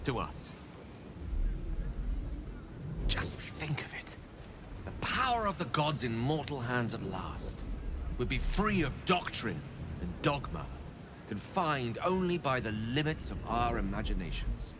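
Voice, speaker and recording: An actor playing an ambitious, earnest wizard scholar in a video game, eloquent, persuasive and grandiose. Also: male voice, medium pitch, very animated, a little slow, noisy background. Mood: fervent longing.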